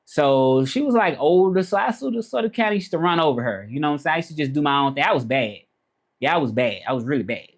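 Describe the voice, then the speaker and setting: A man in his thirties with a Memphis Southern accent, chatting casually on a live stream. His voice sits a little high for a man, and he rambles, circling back and repeating his points. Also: expressive, fast. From Memphis, Tennessee. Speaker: vlogger answering live chat.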